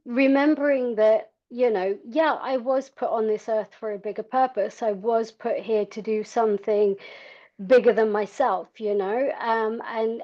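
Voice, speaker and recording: A woman's voice: a little high, warm tone. A middle-aged woman from South Africa telling her personal story in a frank, conversational, self-deprecating way as an interview guest. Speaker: podcast guest, endurance cyclist. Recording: dull, lo-fi audio.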